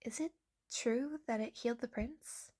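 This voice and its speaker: Lighter-pitched, curious guardswoman. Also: measured pace, a little mellow. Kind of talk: audio drama acting.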